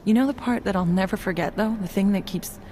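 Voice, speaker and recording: An actress playing a wry, soft-spoken therapist in a video game, shaken but resilient. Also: female voice, mid-range voice, fast talker, slight background noise, natural voice. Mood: haunted. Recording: game cutscene with loud score.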